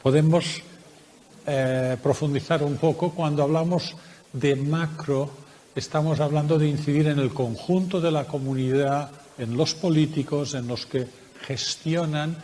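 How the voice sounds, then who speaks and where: A man with a Spanish accent from Spain, presenting a research project at a conference workshop in a measured, explanatory way with frequent hesitations. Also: fairly high pitch, fairly even intonation, dark tone, big-room sound, slight background noise. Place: conference room.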